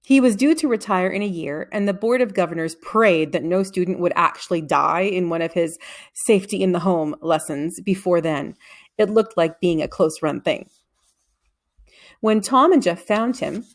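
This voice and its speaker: A woman reading a children's story aloud as a scripted narration, at a medium pitch. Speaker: reader of a children's novel aloud.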